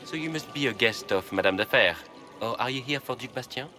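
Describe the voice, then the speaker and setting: Man as a mid-pitched urbane nobleman. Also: animated, fairly quick, music in the background, close to an everyday voice. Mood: bored politeness. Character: jaded, courteous society nobleman party guest. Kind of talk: fantasy game voice acting.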